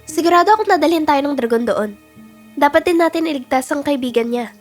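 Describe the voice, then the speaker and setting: A woman voicing a kind, sincere young heroine girl in a fairy-tale cartoon, with a gentle manner. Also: high-pitched, expressive, fast, soft background music. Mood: caring, resolute.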